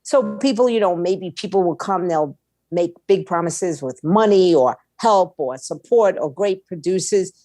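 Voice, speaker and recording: A woman's voice: fairly low pitch, animated, unhurried, warm tone, no room sound. A woman giving conversational interview answers as a guest, speaking emphatically, repeating her points and posing rhetorical questions. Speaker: interview guest, music attorney and label owner.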